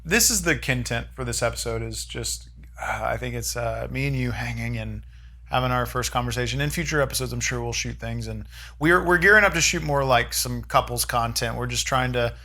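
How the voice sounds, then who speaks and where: A man's voice: medium pitch, brisk, bright, forceful tone. A man hosting a casual chat with his wife, playful and rambling, joking around. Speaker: host.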